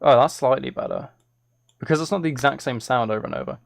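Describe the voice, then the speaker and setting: A man's voice: medium pitch, quick pace, vocal fry, no room sound, studio quality. A man chatting casually as he works on music on a live stream, musing aloud in a self-deprecating way. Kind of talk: casual chat while working.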